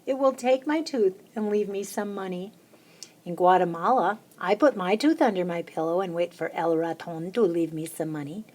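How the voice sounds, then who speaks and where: A woman reading a children's picture book aloud, lifting her voice high and bright for the little chants. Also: normal speed. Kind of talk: storybook read-aloud.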